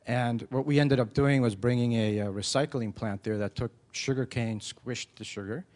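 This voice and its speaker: A man from Ecuador telling his story at a conference in a plain, unhurried storytelling way with occasional uhs. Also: mid-range voice, fairly even intonation, a little mellow. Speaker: conference speaker. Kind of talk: personal conference talk.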